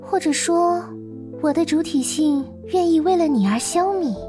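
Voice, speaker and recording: A woman playing a mysterious, devoted girl in a visual novel game, speaking in a soft, earnest, coaxing manner. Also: very high-pitched, animated, moderate pace, music in the background. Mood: solemn, devoted. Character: Lilith, mysterious devoted girl from beyond the world.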